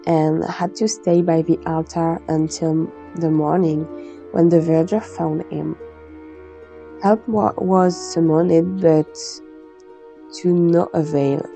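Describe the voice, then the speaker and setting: A woman hosting a podcast, chatting in a relaxed, meandering way and reading a legend aloud. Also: deep voice, vocal fry. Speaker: podcast host.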